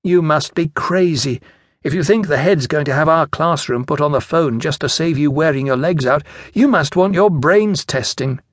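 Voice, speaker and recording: A man's voice: fairly high pitch, moderate pace, no room sound, clean studio sound, voice pushed up, lightly acted. A man voicing a cautious, doubtful young British schoolboy sidekick who raises objections in a children's audiobook. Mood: doubtful, objecting.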